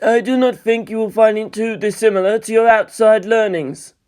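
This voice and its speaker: Old male monk played by a man, high register, serene scholar, formal explanatory storybook delivery. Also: steady tone, moderate pace, a little mellow, clean tone, natural voice.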